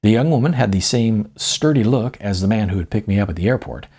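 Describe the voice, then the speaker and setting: A husky male voice with plenty of gravel reads an audiobook as its author. His intonation moves around a lot, rising and dropping for emphasis. The phrasing is deliberate and storylike. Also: fairly low pitch, expressive, warm tone. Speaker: author reading own novella. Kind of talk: audiobook narration, first-person storytelling.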